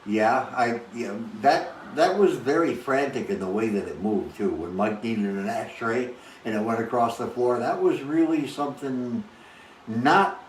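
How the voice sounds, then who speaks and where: A man's voice: mid-range voice, lively intonation, measured pace. A man hosting in a casual, conversational style while talking with someone on the phone. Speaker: host, house caretaker making a call. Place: outdoors on a front porch. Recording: echoey, distant, faint noise.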